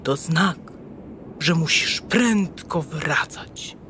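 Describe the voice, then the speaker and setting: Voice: medium pitch, extremely slow, a bit bright, slight background noise. A performer voicing a wise, kindly old owl in a children's radio play, giving soothing advice. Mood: advising.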